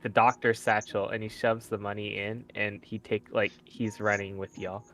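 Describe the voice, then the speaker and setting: A man's voice: on the low side, little pitch movement, normal speed, fairly bright tone, poor audio. A man playing a tabletop roleplay game, casually describing what a character does. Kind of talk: casual third-person description of a character's actions.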